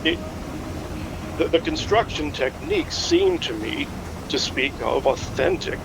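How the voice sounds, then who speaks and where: Learned history expert, hesitant, pedantic, slightly high male voice, naturalistic podcast drama, noisy rumbling background. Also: wildly swooping pitch, vocal fry, heavily acted. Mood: thoughtful, explanatory. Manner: halting, pedantic, scholarly. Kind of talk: naturalistic fiction podcast acting.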